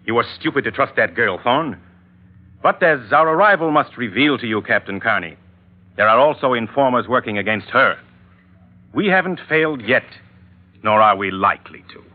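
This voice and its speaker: A man's voice: mid-range voice, lively intonation, normal speed, lightly acted. Actor as suave, condescending henchman on vintage radio. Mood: smug, composed. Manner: dry, superior, precisely worded. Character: suave, coolly condescending educated male villain's henchman. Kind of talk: old-time radio drama acting. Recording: tinny vintage radio recording.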